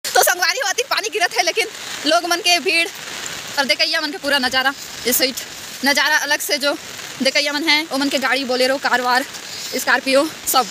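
A woman's voice: sits high, fairly even intonation, a little fast. A young woman with a Chhattisgarhi accent chatting casually to her phone camera as a travel vlogger, mixing in local dialect. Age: young adult. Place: outdoors on rainy rural road. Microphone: phone at arm's length. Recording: noisy outdoor phone audio, rain and motorbike. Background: rain, motorcycle engine, vehicles.

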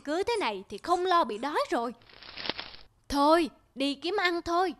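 A woman's voice: high-pitched, animated, normal speed, slight background noise. A woman voicing a sly male swindler posing as a pious monk in a cartoon folktale, with formal, persuasive speech. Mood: scheming. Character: sly fake monk, gaunt man, smoothly pious swindler.

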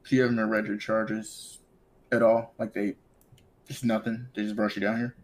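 A man's voice: lowish voice, little pitch movement, normal speed. A man playing a police officer in game roleplay, asking questions in an informal, polite, procedural way. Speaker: player acting as police officer.

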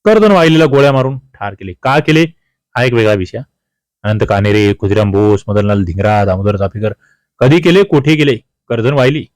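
A man's voice: fairly low pitch, brisk, no echo. A man with a Maharashtrian accent teaching an exam-prep history quiz, addressing students emphatically, repeating key questions and answers with colloquial asides. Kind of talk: quiz-style history lesson, talking to students.

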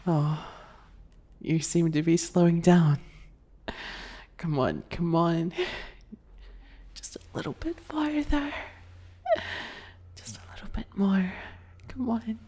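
Breathy, sadistic yandere bunny boy, acted by a man. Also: fairly high pitch, takes it slowly, pauses often. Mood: sadistic, coaxing. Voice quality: soft, breathy, often whispering. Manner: taunting, giggly, falsely sweet encouragement. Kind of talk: whispery ASMR roleplay.

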